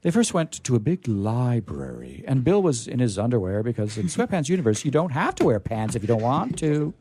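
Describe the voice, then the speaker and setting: A man hosting a radio show, reading stories aloud in a theatrical, deliberate, emphatic way with casual asides. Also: medium pitch, huge pitch swings, mellow, a little hiss or hum.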